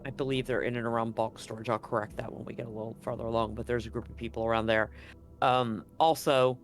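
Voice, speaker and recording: A man's voice: medium pitch, level tone, fast. Casual man running a tabletop game. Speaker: game master.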